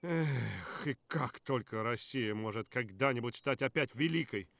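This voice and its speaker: A man's voice: mid-range voice, expressive, moderate pace, gravelly, fairly bright tone, no echo. A man acting as an irate, domineering Soviet general in a video game cutscene. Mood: brooding, approving.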